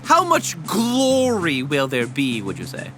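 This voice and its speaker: Cocky cartoon boy, played by a woman: bright, punchy, animated and eager, faint music behind. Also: low-pitched, measured pace, exaggerated voice. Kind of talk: comedic animated parody voice acting.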